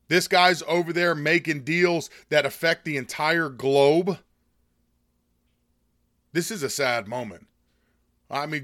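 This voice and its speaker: A man from the United States, hoarse from a chest cold, giving a scornful, indignant opinion monologue as host. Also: fairly high pitch, a bit bright, no room sound, clean studio sound. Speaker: political commentary host.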